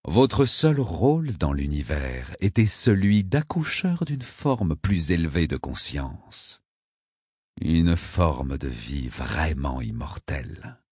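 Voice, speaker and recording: Cruel inhuman male counselor played by a male reader, husky and very unhurried, sneering with superiority. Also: rumbling low, very animated, darkish tone, dry recording, clean studio sound, full character voice. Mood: disdainful. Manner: slow, sarcastic, disdainful delivery. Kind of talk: audiobook character acting.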